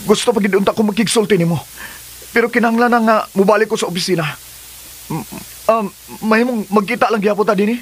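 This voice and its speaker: A man's voice: high voice, quick pace, husky. A man with a Cebuano accent playing an ardent, defensive lover and expectant father in a radio drama. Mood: polite, businesslike. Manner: emphatic, earnest. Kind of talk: Filipino radio drama acting. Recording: music under the dialogue.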